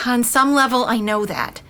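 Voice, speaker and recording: A high, bright-voiced fussy mother. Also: measured pace. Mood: earnest, worried. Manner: earnest, fussy, insistent. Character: anxious, exacting, well-meaning mother and aunt. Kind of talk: naturalistic audio drama dialogue.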